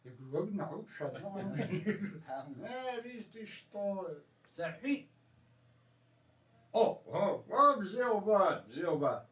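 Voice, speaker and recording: A man's voice: high voice, sing-song, takes it slowly, fairly bright tone. A man, a voice actor talking casually and reading verse at a cartoon session. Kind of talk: casual talk and verse read-throughs between takes. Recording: roomy, distant microphone.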